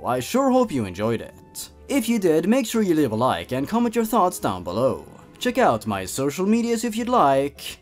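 A man hosting a comedic story reading, theatrical and amused in his reactions. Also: medium pitch. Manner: theatrical, amused, chuckles between lines. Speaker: host of a creepypasta reading channel.

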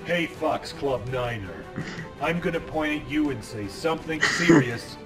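A mock-serious game announcer, pitched high with a lively, swinging delivery. He reads rank-ups and soldier lines deadpan. Loud game music sits underneath. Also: unhurried.

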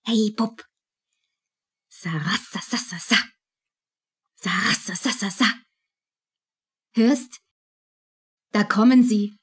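Radio play: defiant young working-class mum, earthy, colloquial, unhurried. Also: female voice, medium pitch, a bit bright, breathy edge. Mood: tender, playful.

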